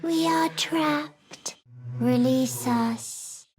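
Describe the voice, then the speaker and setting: A strange little game creature with a high, childlike voice. It speaks very slowly in short, halting, whispery phrases, devoted to its hive and eerily insistent. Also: female voice, soft background music. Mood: urgent pleading. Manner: slow, halting, clipped sentences. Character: eerie childlike intellect devourer creature, devoted hive-minded, urgent. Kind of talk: video game voice acting.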